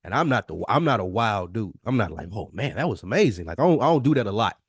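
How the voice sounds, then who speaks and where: A man's voice: fairly low pitch, very animated, fast, breathy edge, no echo, clean studio sound. An American man hosting a podcast, bantering casually in slangy, informal talk with swearing. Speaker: podcast host. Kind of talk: casual banter and chat between friends.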